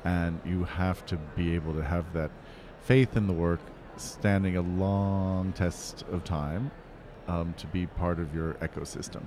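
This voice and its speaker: A man from Pennsylvania, a curator, answering interview questions in a measured, earnest way. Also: low-pitched, fairly even intonation, dark tone, a little hiss or hum. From Pennsylvania, USA.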